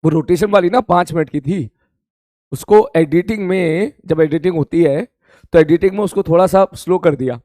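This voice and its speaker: Hoarse guy physics teacher, kinda high voice, chatty live class, dry close sound. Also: moderate pace, warm tone, no echo. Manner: chatty, repeats greetings and calls students "beta".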